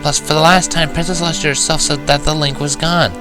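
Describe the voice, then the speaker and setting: A man's voice: a little high, steady tone, quick pace, music in the background, pitched above the performer's own voice, close to an everyday voice. A man voicing a bossy, skeptical young female unicorn scholar in a fan-fiction story reading, dry and lecturing. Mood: exasperated.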